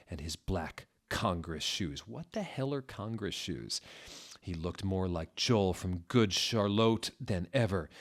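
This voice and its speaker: A man narrating a story as a dramatic, comedic reading. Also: on the low side.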